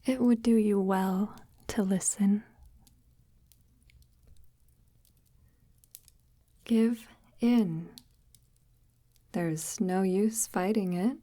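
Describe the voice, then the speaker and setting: A sinister forest witch, acted by a woman in an intimate audio roleplay, speaks extremely slowly in a mellow, breathy half-whisper. She is formal and deliberate, devout about her woods and condescendingly tender toward her captive. The sound is close and dry. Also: medium pitch, dry recording. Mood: mocking, condescending. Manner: formal, measured, deliberate, gently patronizing. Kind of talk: ASMR audio roleplay, slow intimate monologue.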